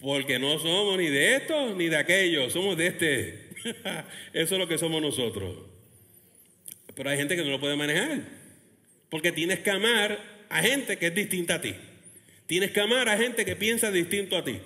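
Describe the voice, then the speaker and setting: A man preaching a lively sermon as pastor, laughing and acting out churchgoers' voices. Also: on the high side, moderate pace. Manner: animated preaching with laughs and acted quotes of churchgoers. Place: church hall, Texas. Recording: long hall reverb.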